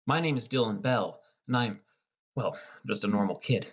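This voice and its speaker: A young man reading a scripted first-person audiobook as a depressed high school boy. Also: moderate pace, husky. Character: depressed, irritable high school boy telling his story. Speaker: audiobook narrator.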